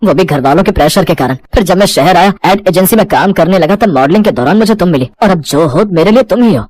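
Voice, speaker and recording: A man's voice: high voice, animated, fast talker, a little mellow, dry recording. A man playing a selfish, evasive young city husband in a cartoon story, defensive and cold, mixing in English words.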